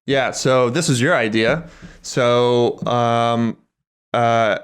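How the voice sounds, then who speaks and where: A man's voice: mid-range voice, fairly even intonation, drawn-out words, smooth. A man co-hosting a podcast in an easygoing, agreeable, casual way. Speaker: podcast co-host. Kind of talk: casual chat.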